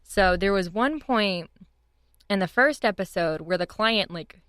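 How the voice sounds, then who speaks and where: Young woman, podcast guest, clean smooth tone, mid pitch, casual chat, very dry sound. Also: lively intonation, unhurried, fairly bright tone, no echo. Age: young adult.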